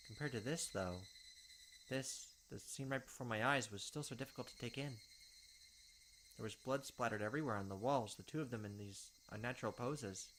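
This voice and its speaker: A man's voice: mid-range voice, fairly bright tone, slight background noise, rough recording. Man dramatically reading a visual novel. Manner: dramatic reading with quick asides. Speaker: let's play narrator. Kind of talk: reading visual novel text aloud with commentary.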